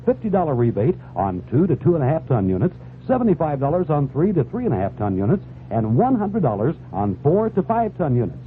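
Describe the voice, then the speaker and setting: Frugal American husband, emphatic 1970s commercial style, slightly high, very lively, faint hiss. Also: male voice, a little fast, few pauses. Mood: confident, persuasive. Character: frugal, confident husband in a radio ad. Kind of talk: 1970s scripted radio commercial acting. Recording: tinny, hissy 1970s radio.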